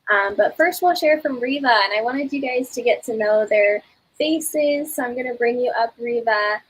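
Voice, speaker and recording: A woman's voice: fairly high pitch, normal speed, husky, rough recording. A woman hosting an online community session, chatty and encouraging, with frequent ums. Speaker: community host.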